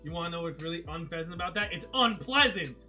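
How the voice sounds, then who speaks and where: A young adult man with a high tenor voice, co-hosting with casual, joking banter and playful quips in a small room. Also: lively intonation, fast talker, pushed and bright. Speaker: co-host. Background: background music.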